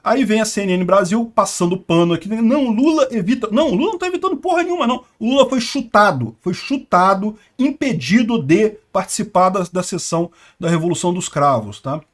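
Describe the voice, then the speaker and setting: A man's voice: high voice, very animated, brisk, hardly any pauses. A Brazilian man giving sarcastic, indignant political commentary. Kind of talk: opinionated news commentary.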